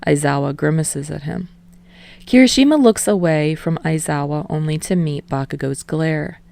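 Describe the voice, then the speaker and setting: Female fiction narrator, low register, slightly gravelly, expressive scripted read. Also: moderate pace, no room sound. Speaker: audiobook reader of fan fiction.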